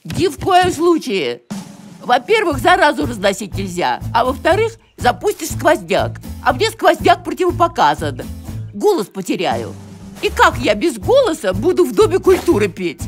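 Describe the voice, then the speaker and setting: A woman voicing a bossy, self-pitying, theatrical woman in an animated cartoon, dramatic and imperious in her pronouncements. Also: high voice, moderate pace, soft background music. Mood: dramatic, scolding.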